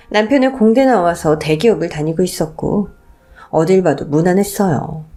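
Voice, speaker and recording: Low-pitched woman narrating a personal story. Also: warm tone.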